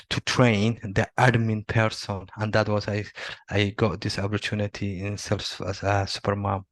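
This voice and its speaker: A middle-aged man from Somalia, not a native English speaker, answering interview questions haltingly with many fillers and restarts. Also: on the low side, no echo. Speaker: interviewee, Salesforce admin. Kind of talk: video interview.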